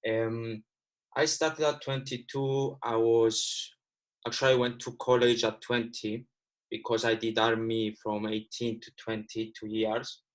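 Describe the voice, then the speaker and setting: A man's voice: mid-range voice, flat delivery, measured pace, rough recording. A man in his twenties from Cyprus whose first language is Greek, speaking casually and earnestly to livestream viewers as a guest trader. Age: 20s. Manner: earnest, repeats himself, addresses viewers as guys.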